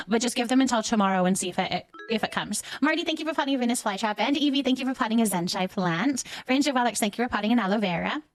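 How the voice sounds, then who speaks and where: High-voiced woman chatting on a game livestream. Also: no echo. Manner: chatty, thinking aloud. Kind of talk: casual livestream chat with viewers.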